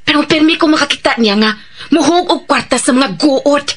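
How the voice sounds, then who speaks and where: A woman's voice: high-pitched, normal speed. An actress with a Visayan Filipino accent playing a gossipy, greedy, giggly woman in a Bisaya radio drama. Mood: gossipy, excited. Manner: animated, giggly, exclamatory. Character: gossipy, greedy, giddy woman scheming over money.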